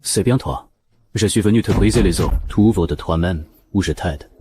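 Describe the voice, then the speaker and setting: An actor playing a cocky, flippant young super-powered fighter in an animated action series, with a nonchalant, taunting delivery. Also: male voice, sits low, normal speed, slight background noise, natural voice.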